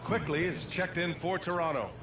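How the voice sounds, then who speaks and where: An actor playing a polished TV play-by-play announcer calling a basketball video game. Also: male voice, fairly high pitch, moderate pace, lightly acted. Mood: focused. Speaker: play-by-play sports commentator. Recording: distant, echoey, loud music over game audio. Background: loud music, bouncing basketball.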